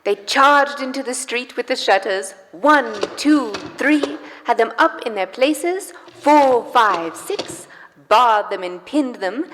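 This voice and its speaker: A woman narrating a live radio play in expressive, literary storytelling style. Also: a little high, takes it slowly, background noise. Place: church hall, live performance. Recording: live in reverberant church hall with music.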